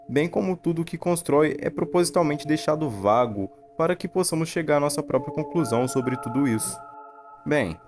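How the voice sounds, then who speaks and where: A man's voice: mid-range voice, a little mellow. A man with a Brazilian accent giving scripted video-essay narration and analysis. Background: background music.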